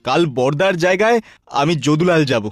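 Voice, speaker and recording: Cartoon casting: male actor as plucky, wily young brother, high voice, singsong pleading. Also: lively intonation, brisk, no room sound, faint music behind, lightly acted. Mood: earnest, pleading. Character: plucky, crafty young brother sentenced to death. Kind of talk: Bengali cartoon dubbing.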